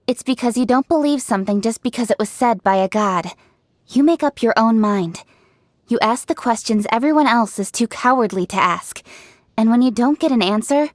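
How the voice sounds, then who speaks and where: An actress voicing a driven, confident young woman official in a video game, speaking in a brisk, forthright way. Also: on the high side, no echo, studio quality, natural voice. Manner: brisk, forthright and self-assured. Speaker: game character voice lines. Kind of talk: game voice-over.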